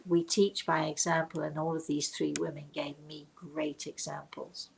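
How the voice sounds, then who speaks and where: An older woman telling reflective personal stories to her viewers in an emphatic, deliberate manner, often turning to rhetorical questions. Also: sits low, little pitch movement, gravelly, a little hiss or hum, low-quality recording. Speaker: speaker and storyteller hosting her own show.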